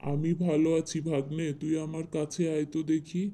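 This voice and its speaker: A man's voice: on the high side, monotone, brisk, mellow, natural voice. A man voicing an affectionate visiting elder brother and uncle in a cartoon. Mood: affectionate, caring. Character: affectionate visiting older brother and uncle, polite village guest. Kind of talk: Bangla cartoon dialogue.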